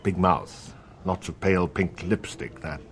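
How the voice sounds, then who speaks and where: An actor playing a wry, world-weary French police inspector in a naturalistic radio drama, sardonic and colloquial. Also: male voice, low voice, measured pace, a little hiss or hum. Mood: wry recounting.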